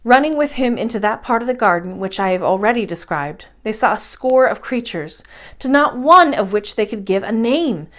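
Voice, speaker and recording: A woman reading a children's story aloud as a storyteller, with expressive, measured phrasing and dramatic emphasis. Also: fairly high pitch.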